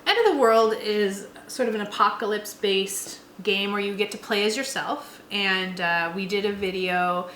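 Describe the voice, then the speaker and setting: Bright-voiced woman in an echoey room. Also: medium pitch, steady tone, normal speed, hardly any pauses. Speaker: co-host of a tabletop game review. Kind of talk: casual chat. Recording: roomy echo, faint background noise.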